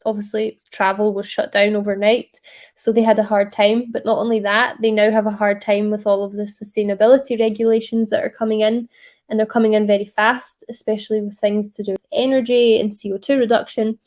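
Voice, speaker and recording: A woman with a Scottish accent presents her startup over a video call. She speaks in a friendly, upbeat, conversational way, filling pauses with ums. Her voice sits at an ordinary middle pitch. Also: level tone, darkish tone. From Scotland. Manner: upbeat, chatty, frequent ums.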